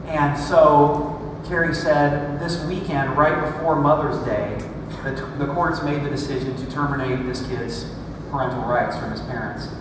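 A man's voice: fairly high pitch, steady tone, normal speed, noisy background. A man in his thirties, a pastor preaching a sermon on a church stage, talking in a conversational way with dry self-deprecating jokes. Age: 30s. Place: large church stage with screen. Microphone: room mic far from stage. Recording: echoey hall recorded from far off.

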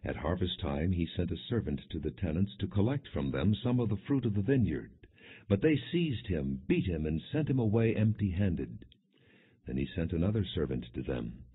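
Deep-voiced man reading scripture, phone-like sound. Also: big pitch swings, moderate pace, slightly rough. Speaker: audiobook narrator. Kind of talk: scripted scripture narration, non-dramatized. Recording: muffled phone-like lo-fi audio.

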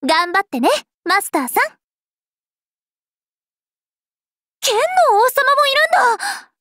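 Peppy young vampire princess for an anime game, super high n lively, bubbly tease, dry close sound. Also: female voice, moderate pace, no room sound, heavily acted. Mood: excited, bubbly. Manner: bubbly, teasing, animated. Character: cheerful, playful young-looking vampire princess, eager and energetic. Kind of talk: anime game character voice lines.

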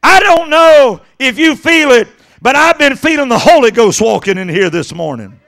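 A middle-aged man preaching an impassioned Pentecostal sermon into a handheld microphone, exhorting and swinging between conversational asides and shouts. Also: extremely high pitch, expressive, moderate pace, gravelly. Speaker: evangelist preaching. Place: church sanctuary stage at a pulpit.

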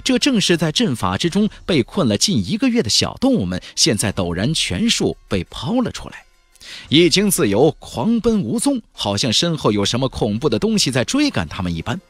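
Husky, highly animated male audiobook narrator. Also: normal speed, dry recording, soft background music. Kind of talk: dramatic scripted narration of a fantasy novel.

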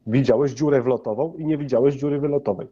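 A man's voice: mid-range voice, fast talker, warm tone. A man running a tabletop role-playing game, explaining things hesitantly as game master. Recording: muffled, dull microphone sound.

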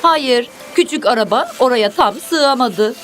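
A woman's voice: a little high, expressive, measured pace, fairly bright tone, a little hiss or hum. A woman narrating a children's cartoon, speaking expressively in simple, instructive phrases as if to young viewers and characters. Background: music.

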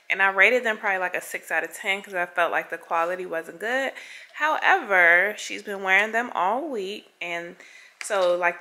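A young woman chatting casually to camera at home in a relaxed, rambling way full of fillers. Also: mid-range voice. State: out of breath. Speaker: vlogger.

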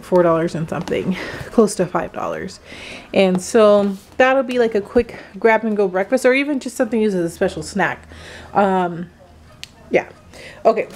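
A woman's voice: mid-range voice, slight background noise. Chatty woman with lots of ums. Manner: chatty, relaxed, many "um"s, unhurried. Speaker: vlogger, mother of several kids. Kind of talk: casual grocery haul chat. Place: home kitchen, indoors.

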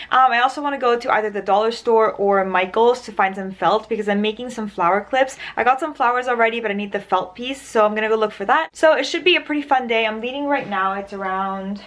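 A woman's voice: fairly high pitch, fairly bright tone. A woman in her twenties chatting in a casual haul vlog from her bedroom, gushing and chatty with lots of "like". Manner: gushing, chatty, full of "like" and "stunning". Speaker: vlogger. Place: bedroom at home.

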